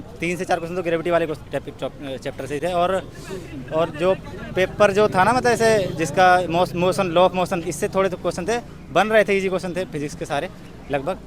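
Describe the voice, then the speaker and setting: A man's voice: a little high, fairly even intonation, brisk. A young man chatting casually as an exam candidate in an interview. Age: young adult. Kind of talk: casual chat. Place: outdoors on a street.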